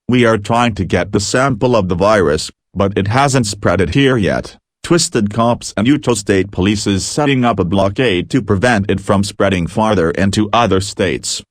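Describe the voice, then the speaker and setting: A man playing a health official gives a scripted interview update. His voice is fairly low and recorded very dry. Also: moderate pace, no room sound, close to an everyday voice. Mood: serious. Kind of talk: scripted news interview statement.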